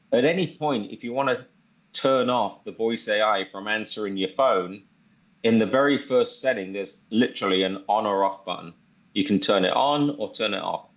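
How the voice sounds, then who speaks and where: A man presenting a software demo in an informal, step-by-step tutorial style. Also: on the low side, lo-fi sound. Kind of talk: casual screen-share tutorial walkthrough.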